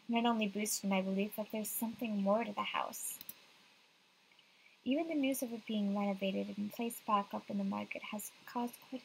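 A woman's voice: medium pitch, vocal fry, a little hiss or hum, low-quality recording. A young woman reading visual novel narration aloud. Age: young adult. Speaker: game streamer reading aloud.

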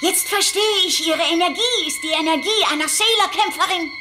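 A woman's voice: very high-pitched, brisk. An actress playing a clever, urgent female talking cat who instructs young heroines in a children's audio drama. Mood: knowing. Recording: loud music under the voice.

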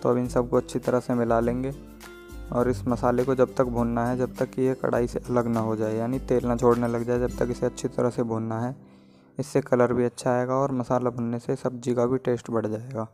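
A man narrates a home cooking tutorial in a plain, instructional way. His mid-pitched voice stays on a very flat, unvarying line as he explains each step. Faint music plays behind him. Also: brisk. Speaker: home cook presenting a recipe.

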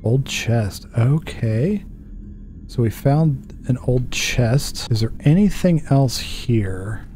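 A man's voice: mid-range voice, expressive, takes it slowly, warm tone, soft background music. A man in his thirties on a headset, casually narrating a puzzle game while muttering to himself, puzzled and self-deprecating. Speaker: gaming streamer. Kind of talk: casual let's-play commentary.

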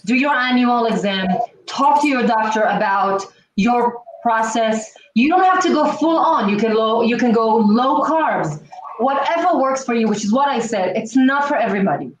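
A middle-aged woman talks emphatically and repeats her key points. Her voice sits a little high and comes from a home kitchen. She stands back from a laptop mic, so the sound is distant and echoey, with faint hiss. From Israel. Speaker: cooking show host. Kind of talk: casual chat on a video call.

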